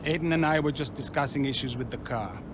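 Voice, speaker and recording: An actor playing a harried racing team boss in a video game cutscene, measured, managerial and firm. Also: male voice, mid-range voice, noisy background, lightly acted. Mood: placating.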